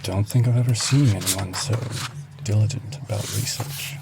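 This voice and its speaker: A man's voice: lowish voice, moderate pace, vocal fry. A man playing a friendly, easygoing small-town librarian in casual, chatty audio drama dialogue. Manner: chatty, reassuring. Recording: loud ambient noise behind.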